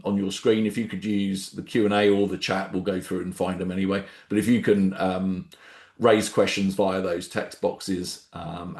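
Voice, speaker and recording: A man with a head cold and a nasal voice, hosting a webinar on a video call in a relaxed, rambling way. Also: lowish voice, reverberant. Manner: relaxed, rambling, frequent ums and restarts. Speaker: webinar host, startup founder. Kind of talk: casual webinar presentation.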